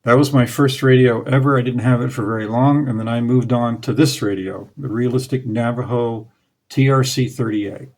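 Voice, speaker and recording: A man in his forties speaks in a relaxed, plain-spoken way, like a hobbyist host looking back fondly. His voice sits at an ordinary middle pitch. It is picked up close by a clip-on mic in a small curtained room. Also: moderate pace. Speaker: radio hobby channel host. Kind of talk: casual explainer to camera. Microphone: clip-on lapel mic.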